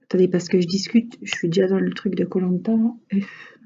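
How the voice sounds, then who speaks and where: A woman's voice: fairly low pitch, little pitch movement, brisk, dark tone, breathy edge, dull audio. Chatty woman with a Franche-Comté accent. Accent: Franche-Comté French. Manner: chatty, rambling, frequent hesitations. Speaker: crafting vlogger. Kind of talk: casual chat while crafting.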